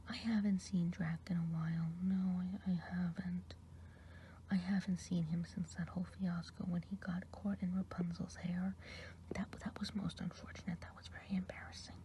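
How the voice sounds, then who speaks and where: A woman voicing a kindly, chatty good witch of the woods, whispering softly and rambling in an ASMR roleplay. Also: medium pitch, a little hiss or hum, lightly acted. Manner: soft whispering, rambling gossip. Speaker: ASMR performer. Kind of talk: ASMR roleplay eye exam.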